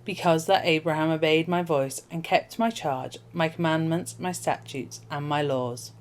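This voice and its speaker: A woman reading scripture aloud as formal narration, in measured, solemn phrases. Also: low voice, steady tone. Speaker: Bible reader.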